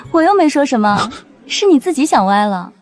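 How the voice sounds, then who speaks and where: Teasing, high-voiced young society woman an actress's role. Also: big pitch swings, normal speed. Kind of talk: dramatized dialogue in an animated web drama.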